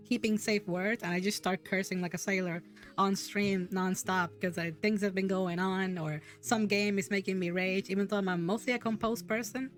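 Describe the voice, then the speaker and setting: A woman whose first language is Spanish, hosting a casual streamed chat, rambling with lots of restarts and frequent giggles. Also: mid-range voice. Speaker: podcast host.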